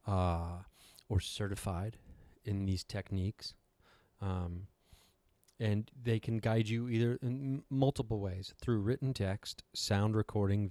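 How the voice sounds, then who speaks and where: A man with a slightly raspy voice, giving a casual, rambling talk with wry, self-deprecating asides. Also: on the low side, warm tone, no echo. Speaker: host giving a talk on meditation. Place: hotel room.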